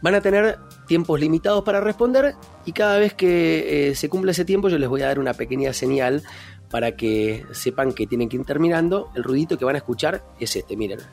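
Argentine guy hosting a podcast, kinda high voice, informal, explains the rules, music behind. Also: brisk, gravelly, darkish tone. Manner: informal, addresses guests by nicknames. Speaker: host and moderator. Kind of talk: informal moderated political podcast.

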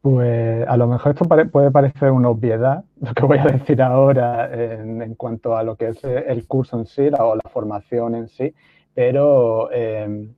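A man's voice: mid-range voice, dry recording. Male guest over a video call, tinny dry line, hesitant explainer, lots of fillers. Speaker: guest, online course copywriter. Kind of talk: casual conversational interview.

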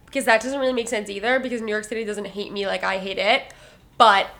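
A woman's voice: mid-range voice, fairly even intonation, quick pace, sharp tone. A woman co-hosting a podcast in casual chat, with a stuffy, nasal voice, talking freely and laughing often. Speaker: podcast co-host. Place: lives in New York City.

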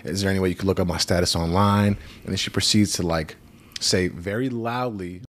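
A man's voice: sits low, steady tone, fairly quick, fairly bright tone. A man chatting informally and telling anecdotes, acting out the dialogue as he goes. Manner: informal, acts out quoted dialogue. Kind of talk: casual storytelling in chat.

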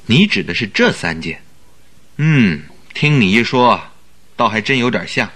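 Radio drama sidekick: bright, forceful voice, lively swoops, earnest, excitable, forever questioning. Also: male voice, lowish voice, a little slow, exaggerated voice. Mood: puzzled. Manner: questioning, exclamatory. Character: earnest, excitable male sidekick, puzzled and admiring. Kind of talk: Mandarin radio drama acting.

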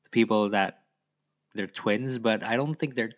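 A man's voice: fairly low pitch, a little slow, a crowd in the background. A man chatting casually as a podcast guest. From Indonesia. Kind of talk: casual chat.

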